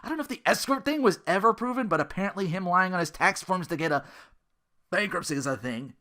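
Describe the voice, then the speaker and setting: A podcast host with a very lively, wide-swinging pitch delivers casual ranting commentary. The manner is exasperated and sarcastic, with plenty of swearing. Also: quick pace. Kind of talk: casual ranting commentary about an internet personality.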